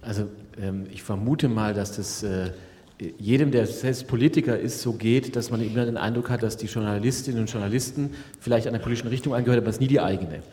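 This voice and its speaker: A man's voice: on the low side, fast, warm tone. Hesitant male politician on a stage. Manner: hesitant, many fillers and restarts. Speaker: politician, panel guest. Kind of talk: unscripted panel answer. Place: conference stage. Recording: reverberant hall.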